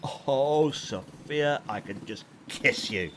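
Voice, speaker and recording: A volatile, oily space crook, wildly animated. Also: male voice, mid-range voice, slow pace, slight background noise. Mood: sly, eager. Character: unhinged gleeful smuggler bounty hunter, a crooked father. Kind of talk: audio drama acting.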